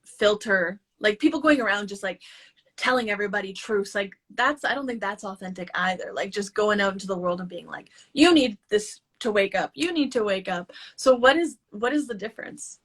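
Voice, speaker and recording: A woman's voice: medium pitch, a little fast. A woman hosting an interview, asking rambling questions with many "like" fillers. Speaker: podcast host. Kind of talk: casual conversational interview.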